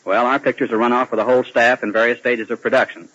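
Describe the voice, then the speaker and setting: A man's voice: medium pitch, flat delivery, quick pace, fairly bright tone. A man giving formal, earnest explanations as a guest in a prepared 1940s radio interview. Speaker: animation director guest. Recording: dull vintage 1940s radio broadcast.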